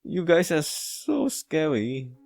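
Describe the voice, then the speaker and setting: Man putting on excitable, higher-pitched young game characters. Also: slow, creaky, exaggerated voice. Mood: startled, whiny. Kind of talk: amateur character read-aloud.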